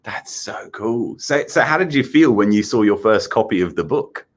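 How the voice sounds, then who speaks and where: A man's voice: fairly low pitch, animated, moderate pace. A man with an Australian accent hosting a children's show, interviewing in a casual, effusive and enthusiastic way. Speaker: host of a children's show, in character as a space captain.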